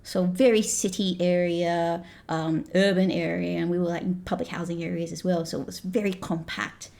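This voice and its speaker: A woman in her thirties from Malaysia talks as a podcast guest, slightly low in pitch. Her accent slides between Australian, British and American. She rambles easily, full of fillers and quick restarts. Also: fairly even intonation, gravelly, darkish tone. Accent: mixed Australian, British and American. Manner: chatty, laughing, frequent fillers and restarts. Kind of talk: casual chat.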